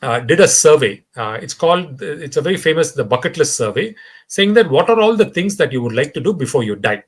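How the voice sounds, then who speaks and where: A man with an Indian English accent talks as a guest in a podcast interview. His voice is lively, climbing and dropping through each phrase, and it sits a little above the usual male register. He speaks in an animated way, full of fillers and restarts. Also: a little high, fairly quick, husky. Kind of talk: conversational interview.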